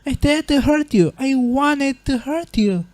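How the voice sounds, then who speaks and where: A woman acts out a motherly monster queen for a fan comic dub, her voice dark and soft-edged and recorded very dry. She speaks formally and gently, with refined diction and a remorseful temper. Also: high-pitched, a little slow, dry recording. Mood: anguished, self-blaming.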